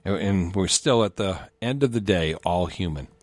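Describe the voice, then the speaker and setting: A man's voice: deep voice, expressive, normal speed, dry recording. A man hosting a radio talk show, reading a scripted promotional intro and then affirming his guest as he interviews him.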